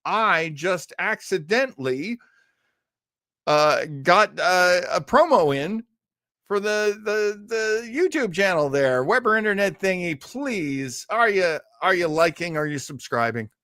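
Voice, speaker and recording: A man's voice: high voice, animated, slow pace, pushed and bright, no echo, clean studio sound. A middle-aged man hosting an old-time radio livestream in a casual, chatty, rambling way, effusive and self-deprecating.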